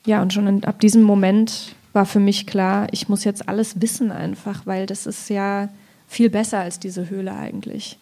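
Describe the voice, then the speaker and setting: Female author, conversational and reflective, with a relaxed, mellow tone and little swing in pitch. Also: normal speed. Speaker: author, guest at a reading event. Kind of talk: conversational literary discussion with passages read aloud.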